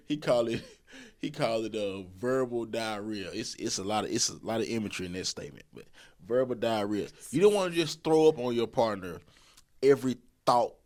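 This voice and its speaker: A man in his thirties talking casually on a podcast at a middle pitch. He rambles and repeats words, with easygoing colloquial speech and frequent laughs. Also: animated, normal speed, darkish tone. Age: 30s. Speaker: podcast co-host. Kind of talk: casual relationship advice talk.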